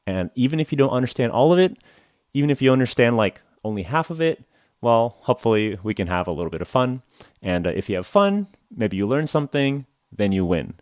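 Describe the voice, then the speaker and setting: A man's voice: mid-range voice, very animated, brisk, darkish tone, dry recording, studio quality. A young American man hosting a podcast for English learners, talking casually with lots of "uh" and "like" and self-deprecating jokes. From United States (Oklahoma). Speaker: podcast host.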